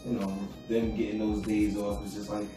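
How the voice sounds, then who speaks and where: A man, co-hosting a podcast in a casual, rambling chat at home, keeping his voice low. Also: flat delivery, moderate pace. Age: adult with children. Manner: laid-back, rambling, talks low so as not to wake his sleeping son. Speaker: podcast co-host. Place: room at home. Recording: echoey room, distant mic, music.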